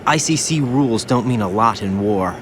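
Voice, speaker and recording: An actor playing a haunted young soldier and former child soldier, bitter and guilt-ridden, in an anguished video game cutscene. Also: male voice, lowish voice, lively intonation, normal speed, background noise, lightly acted. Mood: subdued. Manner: anguished, confessional, brooding. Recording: music behind the voice.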